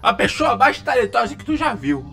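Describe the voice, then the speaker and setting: A man's voice: very high voice, very animated, moderate pace, vocal fry, loud music behind the voice, pitched above the performer's own voice, exaggerated voice. A man with a Brazilian accent voicing a cocky, brash alligator rocker animatronic in a cartoon fan dub, boastful and taunting. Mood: flirty, bragging.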